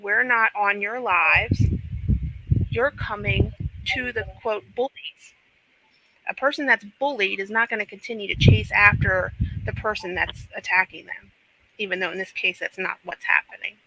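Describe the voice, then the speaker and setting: A man's voice: sits high, steady tone, moderate pace, vocal fry, pushed and bright, rough recording. A man speaking on a livestream panel, pointed and full of rhetorical questions.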